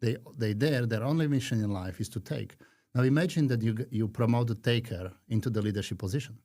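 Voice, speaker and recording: A man in his fifties from Slovakia with a Slovak accent, talking earnestly and reflectively as a podcast guest, with frequent pauses. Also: mid-range voice, dark tone. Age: 50s. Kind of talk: conversational interview.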